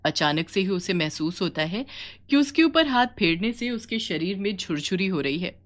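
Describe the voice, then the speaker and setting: A woman's voice: mid-range voice, animated, normal speed. A woman storyteller reading a scripted moral tale for children.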